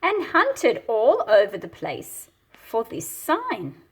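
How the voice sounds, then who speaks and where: A woman hosts a picture book read-aloud, mixing narration with explanation. Her pitch swings expressively while she takes her time over each phrase. The voice has a soft, husky breathiness. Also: huge pitch swings, slow. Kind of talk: storybook read-aloud with teaching commentary.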